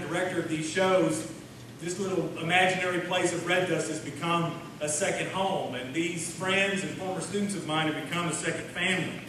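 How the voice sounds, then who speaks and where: A man's voice: fairly high pitch, fairly bright tone. A man from Oklahoma with an American accent, hosting a live stage radio show in a sincere, homespun, folksy way. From Oklahoma, USA. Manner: sincere, homespun address to the audience. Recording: echoey hall from far off, noisy.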